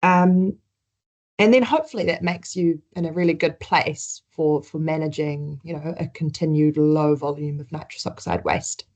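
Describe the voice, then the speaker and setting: Low-pitched woman, New Zealand accent, relaxed webinar presenter who restarts often. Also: normal speed. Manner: conversational, with frequent ums and restarts.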